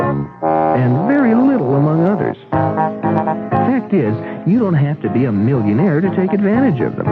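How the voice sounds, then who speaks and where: A man reading a radio commercial as a spokesman, in a persuasive, conversational, folksy way. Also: a little high. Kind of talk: conversational scripted advertisement read. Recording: lo-fi old radio with loud music.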